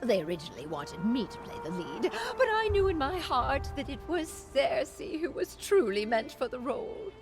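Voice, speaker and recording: Elegant stage actress, high voice, hugely swooping intonation, dramatic, sentimental, game dialogue. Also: female voice, big pitch swings, normal speed, few pauses. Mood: wistful, fond. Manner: dramatic, lilting storyteller. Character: elegant theatrical former actress, expressive and sentimental. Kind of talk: video game story dialogue. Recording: background music under the voice.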